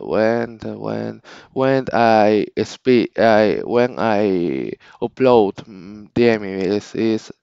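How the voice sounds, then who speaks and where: Slow, halting man with a Spanish accent. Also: fairly low pitch, lively intonation, vocal fry, darkish tone, smooth, no room sound, muffled recording. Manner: halting, searching for English words, frequent restarts. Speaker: channel owner. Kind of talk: casual unscripted vlog talk.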